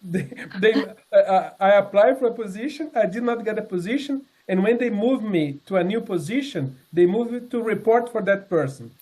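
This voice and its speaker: A man in his sixties giving a personal testimony to a congregation, speaking earnestly and haltingly, with many fillers and restarts. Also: sits high, lively intonation, darkish tone. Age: 60s. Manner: earnest, sincere. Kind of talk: personal testimony talk with slides.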